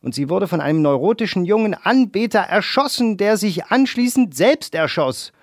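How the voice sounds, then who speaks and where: A man reading a novel excerpt aloud with theatrical swings between loud bursts and hushed lines, then reviewing the book for the radio. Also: high-pitched, animated, normal speed.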